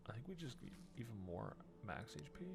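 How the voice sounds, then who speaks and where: A man's voice: medium pitch, big pitch swings, fairly quick, creaky, background noise. A man in his thirties giving casual let's play commentary, thinking aloud in muttered fragments from a small room at home. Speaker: video game streamer. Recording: loud game music behind voice. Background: video game music.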